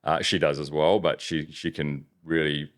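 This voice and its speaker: A man from New Zealand with a softened Kiwi accent, chatting casually as a business owner in an interview, rambling with many restarts. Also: very deep voice, fairly even intonation, moderate pace. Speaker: business owner, podcast guest.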